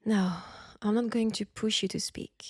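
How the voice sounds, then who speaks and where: A woman with a French accent playing a caring but firm wartime army nurse in an intimate roleplay, speaking softly and breathily in a coaxing way. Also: medium pitch, steady tone, a little slow, no room sound, studio quality. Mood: tender. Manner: soft, breathy, gently coaxing. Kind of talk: intimate roleplay audio acting.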